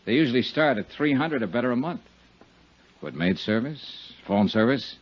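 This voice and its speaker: An actor playing a tough, wry insurance investigator in 1950s radio detective style, clipped and sardonic, both narrating and talking. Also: male voice, medium pitch, lively intonation, moderate pace, breathy edge. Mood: quietly accusing. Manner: clipped, hard-boiled, sardonic. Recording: dull 1950s radio recording.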